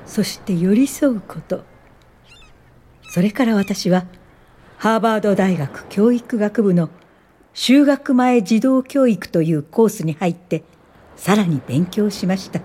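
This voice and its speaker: An actress playing an older woman recounting her life in a radio drama, reflective and measured. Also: medium pitch, warm tone. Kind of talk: scripted first-person radio drama narration.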